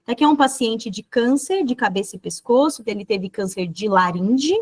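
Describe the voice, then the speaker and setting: A woman's voice: on the high side, expressive, moderate pace. A woman with a Brazilian Portuguese accent giving an online lecture as a dentist, explaining fluently and often checking in with tag questions.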